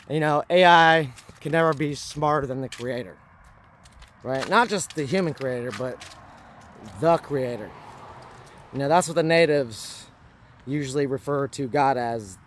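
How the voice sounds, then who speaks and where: A fairly high-voiced man speaks hoarsely, rambling and circling back on himself as he preaches to his camera. Faint traffic hums outdoors behind him. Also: a bit bright. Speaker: vlogger. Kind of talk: casual rambling monologue to camera.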